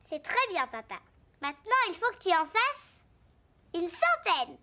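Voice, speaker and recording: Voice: very high voice, sing-song, unhurried, pushed and bright, heavily acted. A performer voicing a cheerful, bossy little girl piglet in a children's cartoon, with simple, self-assured childlike delivery. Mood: encouraging, bossy.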